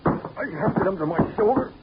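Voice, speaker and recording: An actor playing a wisecracking, hard-boiled investigator in a 1940s radio drama, speaking in a dry, clipped, wry way. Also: male voice, high voice, expressive, moderate pace, heavy background noise. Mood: tense. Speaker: lead actor and narrator. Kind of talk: 1940s radio detective acting, first-person narration. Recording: dull old radio recording, loud music.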